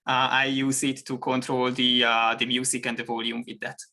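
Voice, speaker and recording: A man's voice: mid-range voice, level tone, normal speed, sharp tone. A man with a Hungarian accent, a native Hungarian speaker, chatting as a guest on a video call, talking hesitantly with many fillers and restarts. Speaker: guest engineer. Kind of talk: casual chat.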